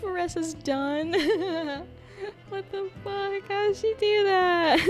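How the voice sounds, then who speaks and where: A woman's voice: extremely high pitch, steady tone, takes it slowly. A young woman giving giggly, casual commentary on a game and swearing now and then. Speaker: streamer. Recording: game music in the background.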